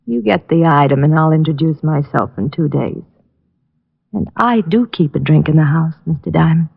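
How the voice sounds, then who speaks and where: A woman's voice: sits low, normal speed, vocal fry, slightly rough, exaggerated voice. A woman playing a guarded, teasing mystery client in an old radio detective drama. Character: cool, evasive, flirtatious mystery woman client. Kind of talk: 1940s-50s radio detective acting. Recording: muffled vintage radio recording.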